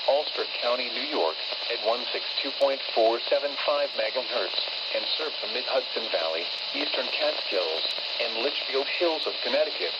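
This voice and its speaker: A synthetic man's voice reading a formal, scripted weather forecast as an automated radio announcer. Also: mid-range voice. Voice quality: synthetic text-to-speech voice. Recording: muffled radio broadcast with loud static. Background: radio static.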